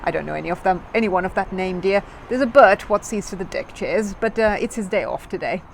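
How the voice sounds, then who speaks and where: A woman's voice: mid-range voice, expressive, a little fast, vocal fry, a little hiss or hum, poor audio. A woman voicing a homely, colloquial kiosk lady in a storybook, kindly and easily flustered. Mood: puzzled, helpful. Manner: colloquial working-class grammar, homely.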